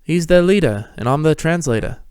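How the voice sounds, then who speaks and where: Man as teenage schoolboy wizard, confident, bold, pitched up, storybook character. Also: animated, fairly quick, a little mellow, clear, resonant tone, dry recording, clean studio sound, lightly acted. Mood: proud.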